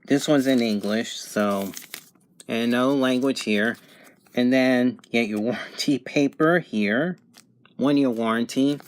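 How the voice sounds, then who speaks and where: A man giving a casual, unscripted product review at home, thinking aloud in halting phrases. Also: medium pitch, a little hiss or hum. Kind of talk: casual unboxing and review narration. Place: home bedroom, indoors.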